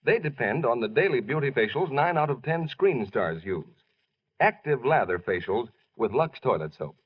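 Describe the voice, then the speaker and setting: A husky-voiced man announcing and reading scripted advertising on 1940s radio, in an old recording. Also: mid-range voice, expressive, normal speed, dull audio.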